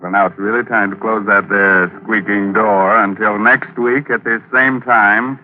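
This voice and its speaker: An actor playing a mocking, darkly playful horror host on 1940s radio, teasing and sardonic. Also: male voice, lowish voice, steady tone, a little slow, natural voice. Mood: gleefully macabre. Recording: dull 1940s radio recording. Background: music.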